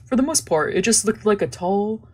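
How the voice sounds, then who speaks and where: A woman's voice: medium pitch, expressive, moderate pace, a little mellow. A young woman doing casual let's play commentary and reading a visual novel aloud, with slangy, sweary talk. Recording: music behind the voice.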